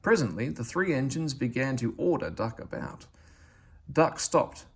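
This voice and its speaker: A man reading a children's story aloud as narrator, with expressive delivery. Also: medium pitch, measured pace, slightly rough. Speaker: storybook reader.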